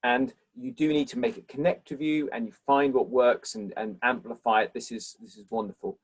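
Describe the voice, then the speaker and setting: A man's voice: medium pitch, steady tone, brisk, breathy edge. Man answering questions after a lecture. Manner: thoughtful, warm and appreciative toward questioners. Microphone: video call.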